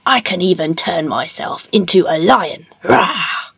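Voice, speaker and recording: A big, fierce ogre who loves to show off, acted by a woman reading a storybook. His delivery is loud and roaring, with a husky rasp. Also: on the low side, lively intonation, no echo, exaggerated voice. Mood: boastful. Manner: slow, loud, roaring, showy. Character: boastful, fierce male ogre magician, big and strong.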